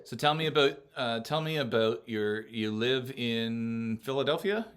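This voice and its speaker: A man hosting a casual podcast chat, teasing his guest and laughing often, with many halting restarts. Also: mid-range voice, a bit bright, a little hiss or hum. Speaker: podcast host.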